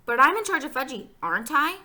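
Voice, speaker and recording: Storybook girl of grade-school age, played by a woman: pushy, eager, sharp-edged, sing-song and swooping. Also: on the high side, big pitch swings, moderate pace, pitched above the performer's own voice. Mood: bossy. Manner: sing-song taunts and insistent pleading.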